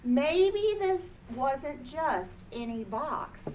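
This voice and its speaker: A woman's voice: sits high, animated, drawn-out words. A woman reading a children's picture book aloud expressively, drawing out key words for emphasis. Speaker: storybook reader. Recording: distant mic, faint background noise.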